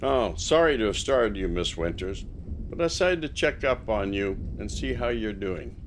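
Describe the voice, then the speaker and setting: A man's voice: lowish voice, lively intonation, moderate pace, lightly acted. A polite, watchful small-town lawman, played by a male actor in an audio drama. He talks in a folksy, courteous way over faint background noise.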